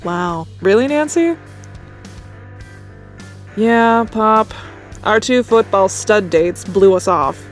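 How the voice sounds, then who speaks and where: A woman's voice: mid-range voice, expressive, slow pace, long pauses, soft background music. A woman playing a sarcastic teenage girl whose date stood her up, with a drawling manner.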